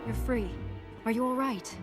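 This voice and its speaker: An actress playing a composed, earnest young Jedi knight in a video game, measured and polite. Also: female voice, fairly high pitch, loud music behind the voice, natural voice. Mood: firm. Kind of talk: video game cutscene acting.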